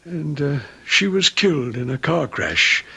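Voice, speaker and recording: An actor playing a gentle, loyal middle-aged manservant in old-time radio drama, polite and hesitant. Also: male voice, on the high side, sing-song, unhurried, creaky, breathy edge, exaggerated voice. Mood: hesitant, wistful.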